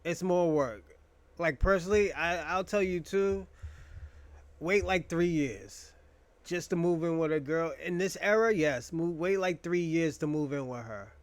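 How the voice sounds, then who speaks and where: A young man with a notably high voice holds forth in a loose, rambling monologue. He jokes crudely and swears freely. The sound is dry and close, with no room echo. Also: unhurried. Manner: profane, rambling, joking. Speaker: podcast host. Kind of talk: casual monologue.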